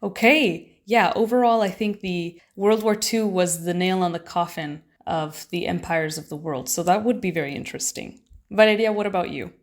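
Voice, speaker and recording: A woman's voice: lowish voice, moderate pace. Young woman hosting a podcast. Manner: reads an intro, then asks guests questions. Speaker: podcast host. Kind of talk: scripted podcast intro and interview.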